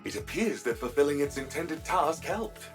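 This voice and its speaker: Polite formal ship ai for a game, measured but pitch moves a lot, music under it. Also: male voice, very animated, recorded from a distance. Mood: courteous, composed. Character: polite, formal ship's guide machine intelligence. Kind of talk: video game cutscene acting.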